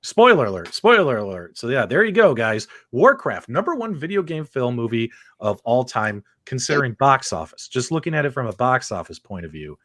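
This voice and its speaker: A man hosting a casual panel show, excitable and chatty as he addresses his co-hosts and audience. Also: medium pitch, a little fast, few pauses, no room sound. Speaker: host of a video game podcast.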